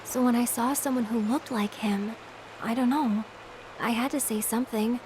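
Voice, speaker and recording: A woman voicing a candid, wistful young woman in a video game, talking in a soft, conversational way. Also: a little high, fairly even intonation, moderate pace, background noise, close to an everyday voice. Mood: regretful, wistful. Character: candid, warm-hearted young woman, wistful and gently teasing. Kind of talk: English-language video game voice acting.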